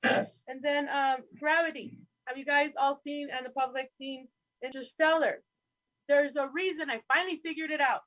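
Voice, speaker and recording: A woman's voice: high-pitched, fairly even intonation, normal speed, a bit bright, no echo, low-quality recording. A woman speaking as a guest in a roundtable discussion, talking in an impassioned, profane, rambling way over a remote call. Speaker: podcast guest.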